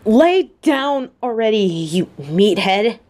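A woman playing a female military bodyguard in a romantic audio roleplay, gruff but shy and secretly lovestruck, speaking softly and haltingly. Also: mid-range voice, very animated. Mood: flustered. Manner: halting, flustered, soft-spoken.

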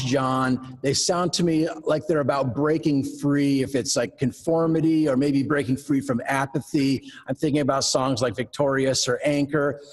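A male interviewer speaking in a measured, articulate way over a video call. His voice sits a little high for a man as he lays out his questions. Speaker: interview host.

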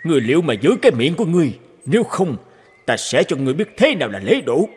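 A man's voice: a little high, very animated, quick pace, slightly rough, dry recording, exaggerated voice. An actor playing an imperious, bullying cartoon king who scolds and threatens haughtily. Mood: threatening. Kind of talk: dubbed cartoon fairy tale acting. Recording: music behind the voice.

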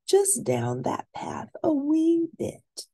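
A woman's voice: high voice, huge pitch swings, takes it slowly, warm tone, pitched above the performer's own voice, exaggerated voice. A woman voicing a kindly, jolly female librarian in a storybook, sing-song and hearty. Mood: kindly, reassuring.

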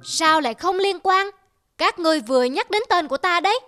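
A woman voicing a big, boastful, bullying male eagle in an animated fable, arrogant and sneering. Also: very high voice, a little fast. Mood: haughty. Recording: loud music behind the voice. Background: music.

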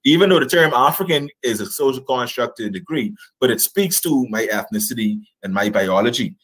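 A man's voice: medium pitch, expressive, brisk, a bit bright, slightly rough, rough recording. A man in his thirties with a Bahamian accent, explaining emphatically as an interview guest and checking in with rhetorical questions. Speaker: guest historian. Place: indoors, in front of a draped backdrop, on a video call. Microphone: video call.

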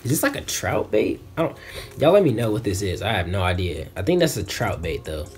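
A man's voice: lowish voice, sing-song, a little fast, faint music behind. A young American man chatting casually as an unboxing vlogger, laid-back and slangy, recorded indoors on a camera at arm's length. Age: young adult. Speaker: fishing vlogger. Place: small bedroom, indoors.

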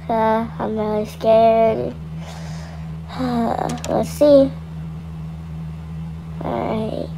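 A woman's voice: fairly high pitch, darkish tone, low-quality recording. A woman voicing a scared, lonely young boy in an amateur game roleplay, hesitant and sighing as the character mutters. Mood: frightened.

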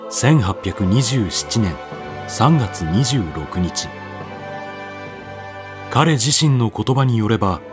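Male narrator, radio drama, solemn, declamatory, unhurried, lively intonation, clear background music. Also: on the low side. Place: studio voice-over with music behind.

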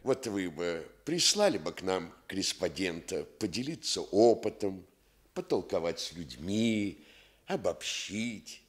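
Pompous low-voiced Soviet busybody. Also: male voice, very animated, unhurried. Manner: officious, bureaucratic speechifying, false modesty. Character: pompous, self-important Soviet male housing activist and schemer. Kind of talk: Soviet radio theatre acting.

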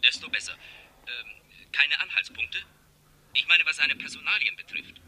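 An actor playing a grumbling, flustered city police commissioner in a 1960s radio crime play, heard through a telephone. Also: male voice, a little high, moderate pace, sharp tone, slightly rough, full character voice, low-quality recording. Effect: telephone filter. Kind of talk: 1960s German-language radio crime drama acting. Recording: thin telephone sound, faint noise.

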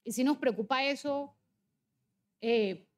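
Female legislator, 40s, Colombian Caribbean accent from Barranquilla, high voice, emphatic debate delivery. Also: slow pace, darkish tone. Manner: insistent, emphatic. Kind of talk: political debate speech with slides.

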